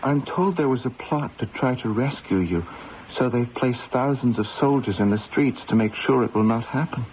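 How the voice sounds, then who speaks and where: Man playing a kind awkward young guard, respectful, mid-pitched, old radio drama, dull sound with faint noise. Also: moderate pace, lightly acted. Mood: earnest, deferential. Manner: respectful, hesitant.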